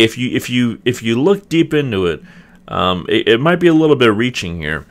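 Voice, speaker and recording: A young man with a slightly raspy voice, casually reviewing a video game and hedging often. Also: medium pitch, fairly bright tone. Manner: rambling, hedging, many ums and restarts. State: clearing throat, voice getting raspy. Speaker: gaming video host. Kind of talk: casual gameplay review commentary.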